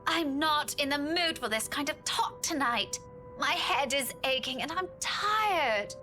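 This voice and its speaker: A woman's voice: high voice, animated, unhurried, pushed and bright, soft background music, exaggerated voice. An actress playing an irritable, tired young woman with a brusque, impatient manner in a visual novel game. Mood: irritated, tired. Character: irritable, tired young woman driving her friend home.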